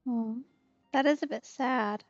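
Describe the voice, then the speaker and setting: A woman with a slightly high voice chats casually through a game stream. She is laid-back and muses to herself, on a slightly muffled mic with soft music behind her. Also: slow pace, dry recording. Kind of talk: casual let's play commentary. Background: faint game music.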